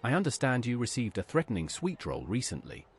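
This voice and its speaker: Husky, terse investigator. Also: male voice, medium pitch, a little fast, exaggerated voice. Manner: terse, flat-worded, brief. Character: terse male investigator, blunt and curt. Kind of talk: game dialogue, AI voiced. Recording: faint game music behind.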